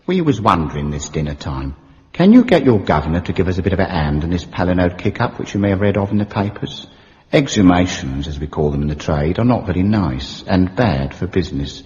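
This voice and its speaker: An undertaker with a very low voice, wheedling and mock-genteel in his trade talk. His delivery swings in pitch, and he sounds muffled in a long reverb, as in a radio drama voice-over. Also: expressive, fairly quick, a little mellow. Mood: ingratiating. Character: plain-spoken working-class London undertaker, reading his letter aloud.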